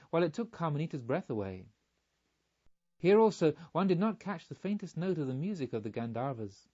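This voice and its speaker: Slightly high-voiced man narrating literature. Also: lo-fi sound. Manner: measured, formal. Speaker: audiobook narrator.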